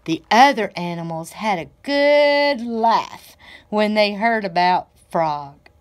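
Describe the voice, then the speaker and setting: A woman reads a children's storybook aloud at a very unhurried pace. Her mid-pitched voice is expressive and deliberate, as if telling the story to young listeners. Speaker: library director reading aloud to children.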